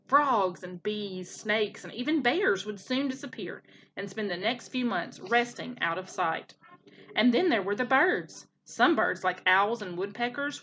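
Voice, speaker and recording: A woman's voice: medium pitch, bright, forceful tone, a little hiss or hum, poor audio. A woman narrating a children's storybook expressively, like a teacher reading aloud.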